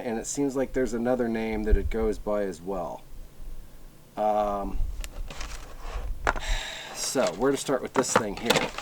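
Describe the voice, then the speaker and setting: A man giving a casual, off-the-cuff product review, rambling a little and repeating himself for emphasis. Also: medium pitch. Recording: clearly noisy recording with clicks.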